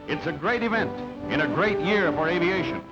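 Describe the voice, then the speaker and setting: High-voiced newsreel announcer man. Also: lively intonation, brisk. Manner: clipped, announcing delivery. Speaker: newsreel narrator. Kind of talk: scripted newsreel narration. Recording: dull lo-fi archival sound over loud music.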